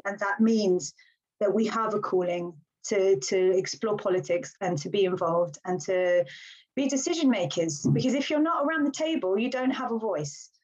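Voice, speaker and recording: A woman from Britain speaks with animated earnestness, urging listeners on as a podcast guest. Her voice sits at an ordinary middle pitch. The recording is a bit dull and lo-fi. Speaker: guest, village councillor. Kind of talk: casual podcast conversation. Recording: slightly dull lo-fi sound.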